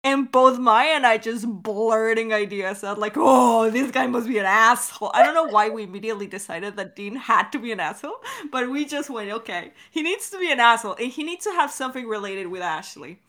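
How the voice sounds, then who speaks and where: Bright-voiced young woman, chatting casually. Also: a little high, expressive, fairly quick, few pauses. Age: young adult. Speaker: podcast creator, co-host of a Q&A. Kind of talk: casual chat. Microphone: recorded over Discord call. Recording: online voice call.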